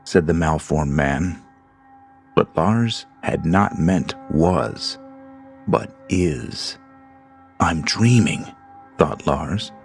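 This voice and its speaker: Deep, gravelly horror narrator. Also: male voice. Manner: slow, measured, ominous reading. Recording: studio voice-over with creepy background music.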